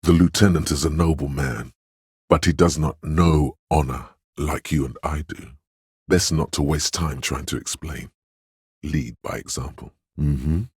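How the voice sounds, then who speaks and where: An actor voicing a solemn, grandiose inner voice of authority in a video game, speaking in a pompous, ceremonious and deliberate manner. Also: male voice, rumbling low, steady tone, darkish tone, breathy edge, no echo, studio quality, full character voice. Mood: solemn praise. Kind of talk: scripted game voice lines, theatrical narration.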